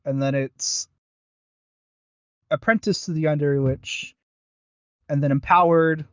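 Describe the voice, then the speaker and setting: Man, mid-pitched, hesitant informal podcast chat, very dry room, lo-fi dull sound. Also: fairly even intonation, slow pace, clean tone, no echo. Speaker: podcast co-host. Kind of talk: casual chat reviewing audio dramas.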